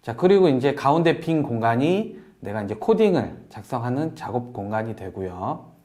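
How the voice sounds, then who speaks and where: A man presenting a software tutorial in a polite, explanatory way, walking through each step as an instructor. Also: medium pitch, big pitch swings, normal speed. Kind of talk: software tutorial narration over screen demo.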